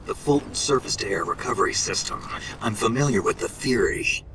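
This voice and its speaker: A gravelly, terse special forces soldier. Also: male voice, lowish voice, a little fast, background noise. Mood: cool, questioning. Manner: terse, dry, deadpan. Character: gruff, cool-headed male special forces soldier. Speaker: field operative. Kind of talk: video game voice acting.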